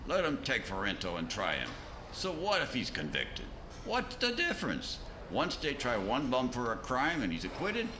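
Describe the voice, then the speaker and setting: A man's voice: medium pitch, reverberant, noisy background. A man playing a sly, slangy mob boss in a 1950s-style radio crime drama, needling and smugly scheming. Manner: slangy tough-guy talk, needling.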